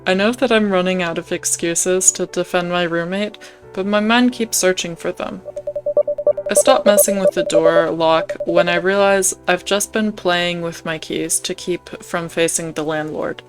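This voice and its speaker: A young woman narrates a visual novel for a gaming video. Her pitch sits a bit low, and she moves between storytelling and casual asides, with faint music in the background. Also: fairly even intonation, a little slow. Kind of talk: reading a visual novel aloud with casual commentary.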